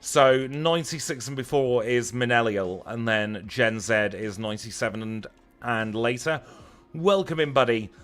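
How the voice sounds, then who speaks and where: A man's voice: medium pitch, lively intonation, moderate pace, fairly bright tone, soft background music. A man with a Lancashire accent chatting casually as he streams a video game, wry and self-deprecating. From Lancashire, northern England. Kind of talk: casual livestream chat over gameplay.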